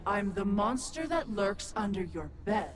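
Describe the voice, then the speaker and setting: A woman voicing a menacing enchantress, a monstrous dark spirit who taunts slowly in a fighting game. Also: medium pitch, big pitch swings.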